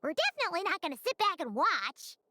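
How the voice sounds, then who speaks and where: A woman voicing a tiny floating female companion sprite, excitable and blunt, in squeaky, exclaiming video game dialogue. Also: fast talker, dry recording, clean studio sound, exaggerated voice. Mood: eager. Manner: squeaky, exclaiming, speaks of herself by name.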